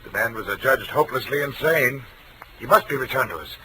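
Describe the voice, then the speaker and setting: A man's voice: medium pitch, expressive, fairly quick, natural voice. A man playing a grave, evasive asylum doctor in 1940s radio style, speaking formally and with dramatic emphasis. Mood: grave, urgent. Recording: noisy vintage radio recording.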